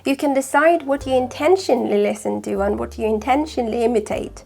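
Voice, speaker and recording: A woman whose first language is Farsi, speaking in a British accent with a slight northern English touch, giving calm, measured, scripted explanations as a language-learning host. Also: on the high side, creaky, faint music behind. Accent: British with slight northern English influence. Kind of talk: scripted talk to camera.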